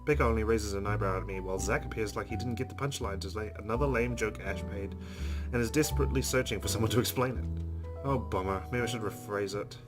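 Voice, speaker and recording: A man's voice: fairly low pitch, fast, music in the background. A man reading visual novel narration aloud as a let's play streamer, with casual asides.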